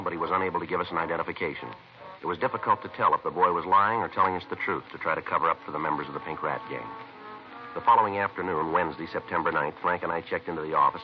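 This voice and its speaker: A man playing a methodical American police detective in a 1950s radio crime drama. His very low voice moves quickly through times and facts, flat in feeling and terse. The old recording is dull, with faint music behind it. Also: lightly acted. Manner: clipped, matter-of-fact, deadpan. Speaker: narrator and lead detective.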